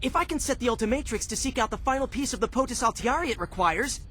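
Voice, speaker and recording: A man's voice: very high voice, lively intonation, fast talker, pushed and bright, a little hiss or hum, heavily acted. A man playing a cocky, sarcastic teenage boy superhero in a cartoon video game. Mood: determined. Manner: sarcastic, self-assured.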